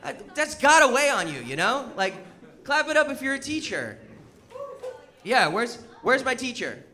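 Casting: American man, thirties, stand-up comic, high voice, conversational and animated, over very noisy live audience. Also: normal speed. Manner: animated, conversational crowd work, acting out bits of dialogue. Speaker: stand-up comedian. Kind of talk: stand-up comedy, casual storytelling. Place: indoor comedy show on stage before an audience. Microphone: handheld microphone. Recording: live stage mic, loud audience noise. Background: audience laughter and noise.

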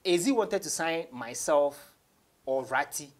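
A man's voice: on the high side, expressive, unhurried. A young man with a Ghanaian accent, a rapper chatting as an interview guest, telling stories with repetition for emphasis and switching between languages. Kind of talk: casual interview chat, mixing English and Twi. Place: small indoor radio studio.

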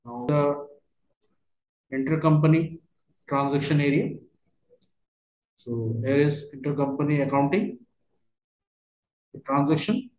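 A man working through a step-by-step software tutorial as a trainer, speaking in halting, fragmented phrases. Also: a little high. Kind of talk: step-by-step screen tutorial narration. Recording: roomy, echoey voice recording.

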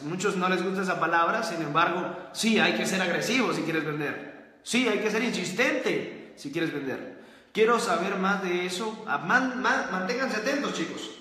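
A man hosting a book-summary series, talking in an energetic motivational style and repeating his key phrases for emphasis. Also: high-pitched. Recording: very echoey room with long reverb.

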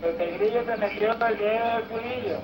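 A high-pitched man calls out gameplay like a mock police dispatcher, repeating each line for comic effect. He is chatting with friends during an online game. Steady background noise runs under his voice. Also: normal speed. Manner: mock police-radio announcements, repeating lines. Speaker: gamer. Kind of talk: casual gaming chat with friends. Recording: noisy gameplay recording.

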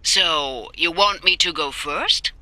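A woman's voice: lowish voice, slow pace, bright, forceful tone, slight background noise, full character voice, rough recording. An actress playing a cheeky, plucky young woman who works as a mech engineer in a video game. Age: young adult. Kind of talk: video game cutscene acting.

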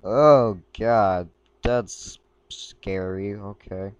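A man talks through his screen recording in a rambling, halting way, tripping over words as he reads aloud. His voice sits on the low side and comes through clean and smooth even though he is a bit sick. The sound is dry and close. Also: no room sound. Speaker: gaming video creator. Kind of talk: casual screen-recording commentary.

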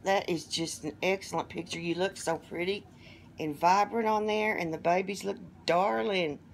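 A middle-aged woman with a hoarse voice, chatting casually as she opens gifts at home and gushes over each one. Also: lowish voice, fairly even intonation, moderate pace, slight background noise. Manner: gushing, softly delighted exclamations. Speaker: vlogger opening gifts. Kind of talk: casual unboxing chat. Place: living room at home.

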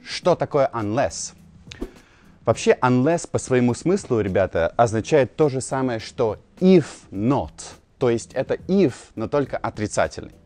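A man in his thirties, a native Russian speaker, giving an informal English lesson to camera outdoors and slowly repeating key phrases. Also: medium pitch, sing-song, a little mellow. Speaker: English teacher, video host. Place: outdoors on a narrow old-town street. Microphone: lapel mic.

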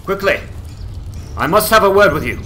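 A man's voice: high voice, animated, normal speed, pushed and bright, slight background noise. A man playing an earnest, courteous ranger in a fantasy game dramatization, speaking formally and solemnly. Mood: urgent. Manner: formal, solemn heroic speech. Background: game music.

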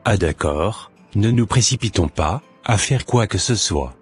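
A man plays a patient, devout young man in a cartoon. He speaks in a measured way, low and very husky, with music underneath. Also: lively intonation, full character voice. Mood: calm, hopeful. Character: calm, devout young man hoping to marry. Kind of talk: scripted cartoon dialogue.

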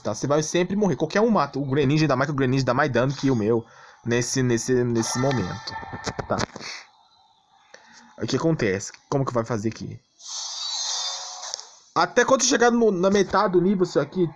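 Animated high-pitched Brazilian man. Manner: blunt, exasperated, repeatedly tagging phrases with check-in questions. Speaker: gaming channel host. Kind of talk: casual gameplay tutorial commentary. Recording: background noise, clicking sounds.

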